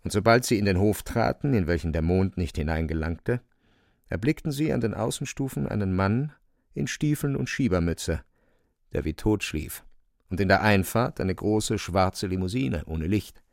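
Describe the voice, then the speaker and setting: A wry male storyteller in a literary radio play. His low, gravelly voice swings animatedly through short, suspenseful phrases in a dry, close studio sound. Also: animated, darkish tone, dry recording. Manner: theatrical, wry, short phrases with pointed pauses. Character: wry, vivid male storyteller relishing grotesque events. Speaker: narrator.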